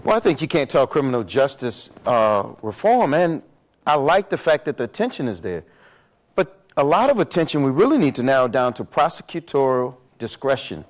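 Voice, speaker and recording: A loud-voiced man speaking on a live event stage at mid pitch. He presses his points emphatically and repeats words as he works toward each thought. His delivery is impassioned panel talk. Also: very animated, moderate pace. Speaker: panelist on justice reform.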